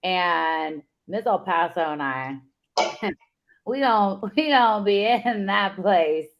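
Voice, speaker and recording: A woman co-hosting a casual sports podcast, upbeat and giving shout-outs. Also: medium pitch, lively intonation, takes it slowly, gravelly. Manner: upbeat, gives shout-outs and sign-offs. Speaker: podcast co-host. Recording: dull lo-fi sound.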